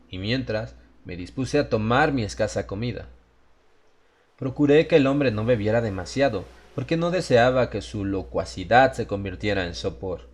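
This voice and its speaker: A man giving a scripted first-person narration of a horror story as an audiobook narrator. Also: mid-range voice. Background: music behind the voice.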